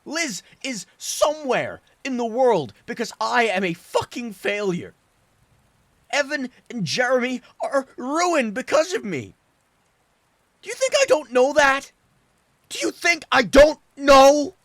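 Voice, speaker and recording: A man reading a story, voicing a tormented young man who stammers and shouts at his father through tears. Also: extremely high pitch, big pitch swings, slow, no echo, pitched above the performer's own voice, heavily acted. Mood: anguished shouting. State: crying. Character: tormented young man, broken, furious, sobbing son.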